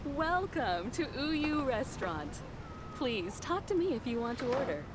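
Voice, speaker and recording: A polite restaurant greeter in a video game, high and lilting. She speaks unhurriedly, heard quietly from a distance under very loud ambient noise. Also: big pitch swings, unhurried. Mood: welcoming. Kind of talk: video game character acting.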